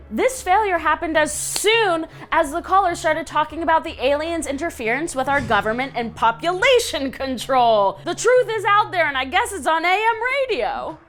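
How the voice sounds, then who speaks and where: A woman's voice: high-pitched, fairly bright tone. A woman with an American accent hosting a scripted comedic countdown of creepy stories, theatrical, sardonic and slangy. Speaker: host of a web countdown show.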